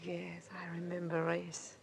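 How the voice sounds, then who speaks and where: An actress playing a young, guarded femme fatale with halting, measured speech, in old radio drama style. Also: female voice, lowish voice, completely flat delivery, gravelly. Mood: guarded, intimate. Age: young adult. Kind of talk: 1940s-50s radio drama acting. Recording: hissy old-time radio recording.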